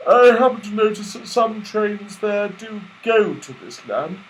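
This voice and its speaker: A man's voice: high voice, animated, unhurried, slight background noise, voice pushed up, low-quality recording. A man voicing a kindly, sentimental toy rabbit in a children's storybook, gushing and a little hesitant. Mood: eager, helpful.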